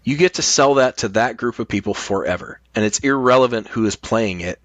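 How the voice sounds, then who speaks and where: A man's voice: medium pitch, a little fast, no echo. A middle-aged man talking casually as a podcast guest, explaining his points with emphasis.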